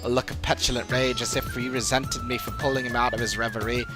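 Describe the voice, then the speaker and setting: A man's voice: medium pitch, little pitch movement, quick pace, over music, close to an everyday voice. A man voicing a regretful father in a fantasy game, speaking solemnly and formally of his disappointment. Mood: rueful. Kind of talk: dramatic reading of game dialogue.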